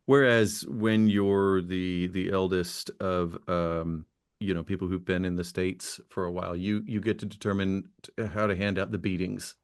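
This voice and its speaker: Low-voiced man hosting an interview. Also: little pitch movement, normal speed. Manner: conversational, frequent backchannel, stumbles into questions. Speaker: podcast host. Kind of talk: casual interview.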